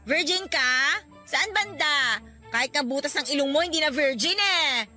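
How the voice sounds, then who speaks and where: A woman's voice: high voice, animated, normal speed, sharp tone. An actress playing a persistent, playful young mother in a radio drama, nagging and coquettish, with music underneath. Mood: teasing, delighted. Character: persistent, teasing young mother in love with best friend.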